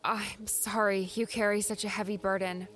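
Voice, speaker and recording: A woman playing an earnest, apologetic young adventurer in an audiobook drama, speaking softly and with feeling. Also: medium pitch, flat delivery, moderate pace, slight background noise, close to an everyday voice. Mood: sympathetic, sorry. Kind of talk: audiobook character acting.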